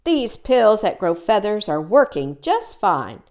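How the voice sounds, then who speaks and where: A woman voicing a vain, jealous young girl bird in a storybook read-aloud, with a high, whiny, excitable delivery. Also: takes it slowly. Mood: gleeful.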